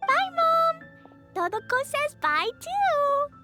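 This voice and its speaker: A woman's voice: very high voice, sing-song, slow pace, exaggerated voice. An actress playing a sweet, innocent little girl in a fantasy game, childlike and eager, with music behind her. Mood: cheerful. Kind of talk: animated game voice acting. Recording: prominent music under the voice.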